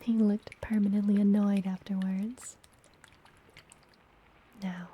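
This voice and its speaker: A woman playing a friendly apothecary witch in a fantasy ASMR roleplay, speaking gently in a breathy, soothing whisper. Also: mid-range voice, slight background noise, natural voice, low-quality recording. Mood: pleased. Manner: slow, gentle, soothing delivery. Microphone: close ASMR microphone.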